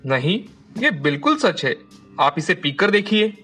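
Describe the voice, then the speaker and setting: A man voicing a polite, respectful young man of humble means in an animated moral story. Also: high voice, measured pace, soft background music, full character voice. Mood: polite, persuasive.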